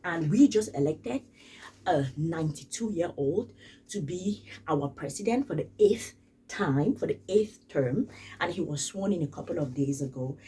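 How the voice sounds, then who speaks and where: Lady talking it through on her vlog, super animated, says stuff twice to hammer it home, a bit far off the mic. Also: lowish voice, unhurried, breathy edge. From Cameroon. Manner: emphatic, repeats phrases for stress. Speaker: vlogger. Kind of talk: casual spoken commentary.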